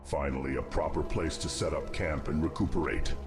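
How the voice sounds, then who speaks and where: A man performs a grave undead insectoid commander from a fantasy game. His low voice is formal, measured and resolute, giving orders over clearly audible music. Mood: commanding. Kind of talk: fantasy game cutscene acting.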